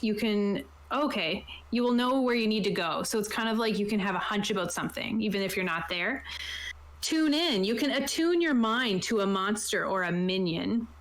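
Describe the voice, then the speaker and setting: Casual female game host, medium pitch, informal and upbeat, explaining rules over online voice chat. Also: expressive, normal speed, a bit bright. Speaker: host and game master of a tabletop roleplaying stream. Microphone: close desktop mic on an online voice chat.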